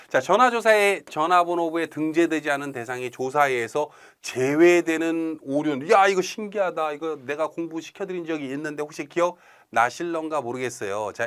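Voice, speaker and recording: A man's voice: fairly high pitch, fairly bright tone. A man giving an informal recorded exam-prep lecture, chatty and colloquial, often checking in with rhetorical questions. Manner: colloquial asides and playful imagined quotes.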